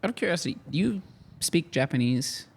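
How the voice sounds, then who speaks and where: A man's voice: a little high, animated, normal speed, gravelly, husky. A young adult man co-hosting a podcast in a clothing store, chatting casually and rambling with lots of "like". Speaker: podcast co-host. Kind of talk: casual chat.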